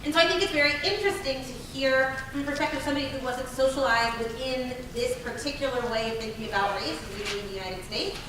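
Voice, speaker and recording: A woman's voice: high-pitched, fast talker, hardly any pauses. A woman facilitating a community workshop discussion, engaged and affirming, often checking in with "right?". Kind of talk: leading a group discussion. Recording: echoey room, distant mic, faint noise.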